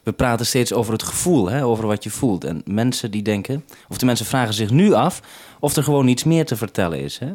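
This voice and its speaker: A man's voice: medium pitch, expressive, brisk, darkish tone. A man talking unscripted as a radio host over a two-way link, businesslike and explanatory with some hesitation.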